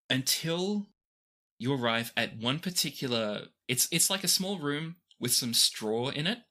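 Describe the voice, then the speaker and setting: Game master for tabletop roleplay. Mid pitch, lively swings, forward bright tone, expressive improvised storytelling.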